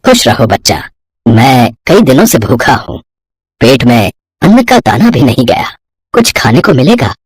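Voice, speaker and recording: A man playing a kindly old wandering holy man in an animated moral story, solemn and measured. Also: medium pitch, husky, dry recording. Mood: weary.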